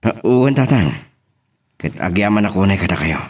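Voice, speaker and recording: A low, husky voice with lively intonation: a man acting a devoted family man in an Ilocano love drama. He sounds earnest and weighed down by care. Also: normal speed. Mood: grateful, earnest. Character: earnest husband and father, burdened but grateful.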